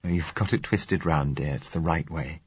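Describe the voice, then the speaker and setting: Audiobook: narrator doing a soft-spoken blind young man, low, husky. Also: normal speed, no room sound, highs cut off. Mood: tender. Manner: soft, reassuring. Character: gentle blind young man, calm and tender.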